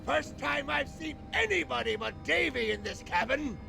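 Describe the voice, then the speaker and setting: A scheming pirate parrot for a video game. The voice is extremely high and screechy, full of croak, and wheedles slyly at a slow pace over loud music. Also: male voice, animated, full character voice. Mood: sly, wheedling. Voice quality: screechy, very creaky. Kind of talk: video game character acting.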